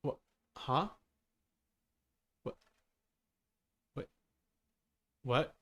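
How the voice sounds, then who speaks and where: A man's voice: medium pitch, very slow, sharp tone. A man doing casual live game-stream commentary, muttering to himself and repeating his phrases. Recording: noticeable background noise.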